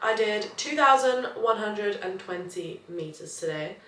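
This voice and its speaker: A woman's voice: medium pitch, expressive, a little slow, a bit bright, a little hiss or hum. A young woman vlogging casually about her day, rambling and bubbly, her voice nasal from allergies. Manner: bubbly, rambling, self-deprecating. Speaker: vlogger and personal trainer. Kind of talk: chatty daily vlog. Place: at home in her flat. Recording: roomy, distant home audio with faint ambience.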